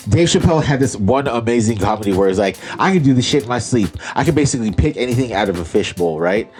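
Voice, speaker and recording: A man's voice: medium pitch, quick pace, soft background music. A man co-hosting a podcast in casual chat, making his points with emphasis and questions. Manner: animated, argues with emphasis, asks questions. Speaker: podcast co-host.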